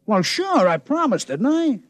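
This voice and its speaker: An actor playing a brash, streetwise American casino owner who talks in slangy wisecracks, in old-time radio drama style. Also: male voice, extremely high pitch, big pitch swings, measured pace, no echo, clean studio sound, exaggerated voice. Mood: wisecracking.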